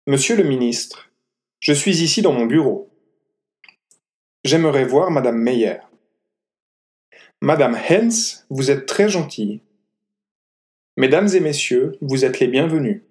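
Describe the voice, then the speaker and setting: A man reading short scripted phrases for a language lesson, in a deliberate, phrase-by-phrase delivery. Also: medium pitch, a little mellow.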